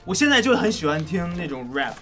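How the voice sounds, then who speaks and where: A man's voice: high voice, big pitch swings, a little fast. A man chatting casually in a group cast talk, playfully teasing the others. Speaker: cast member of an audio drama. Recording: background music under the voice. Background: music.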